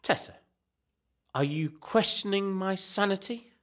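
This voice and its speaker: A man's voice: high voice, unhurried, fairly bright tone. A man playing an indignant, evasive husband who protests and hesitates in a radio comedy. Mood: hurt, evasive. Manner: protesting, hesitant when cornered.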